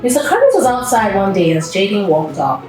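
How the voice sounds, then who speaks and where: A woman's voice: medium pitch, huge pitch swings, fairly quick, darkish tone. A woman reading a storybook aloud to young children, with simple child-directed phrasing and questions to her listeners. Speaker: children's read-aloud host. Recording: distant mic in echoey room, background music.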